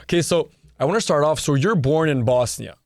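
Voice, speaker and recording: A man's voice: on the high side, expressive, fairly quick, a little hiss or hum. A man from Quebec with a Quebecois French accent, hosting a podcast in a loose, chatty way with plenty of fillers and easy laughs. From Quebec, Canada. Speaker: podcast host. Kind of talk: casual interview chat.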